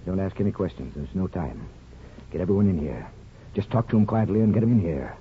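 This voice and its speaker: An actor playing a gentle, folksy small-town barber and grandfather in a 1950s radio drama, measured and reflective. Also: low-pitched, expressive, slight background noise. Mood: urgent, hushed. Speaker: lead actor and narrator. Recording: muffled 1950s radio broadcast, music behind.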